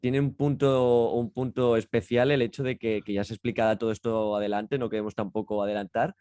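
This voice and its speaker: A man's voice: medium pitch, little pitch movement, a little fast, dark tone, clear, resonant tone, no echo. A man co-hosting a podcast, chatting casually and explaining things, repeating and rephrasing as he goes. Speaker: podcast co-host. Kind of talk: casual conversational podcast talk.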